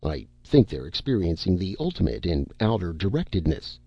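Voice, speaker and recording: A man voicing a gruff, steady middle-aged man who speaks bluntly. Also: sits low, moderate pace, dry recording, lo-fi sound, exaggerated voice.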